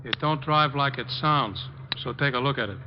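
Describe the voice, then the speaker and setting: An actor playing a gruff, slow-witted gangster henchman with blunt, slangy speech in a 1940s radio crime drama. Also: medium pitch, close to an everyday voice. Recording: noisy, dull old 1940s radio recording.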